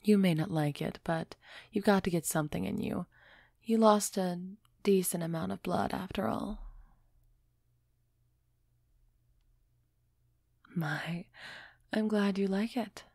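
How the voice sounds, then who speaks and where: A woman playing a refined, centuries-old female vampire in an intimate audio roleplay, gently imperious and softly teasing as she speaks straight to the listener. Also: mid-range voice, creaky, no echo, studio quality. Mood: gracious, caring. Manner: slow, measured, softly teasing, addressing listener directly.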